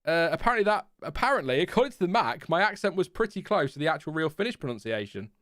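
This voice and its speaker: A man's voice: a little high, sing-song, quick pace, fairly bright tone, dry recording, clean studio sound. A British man hosting a casual race reaction, thinking aloud and rambling with many fillers. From Britain. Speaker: host.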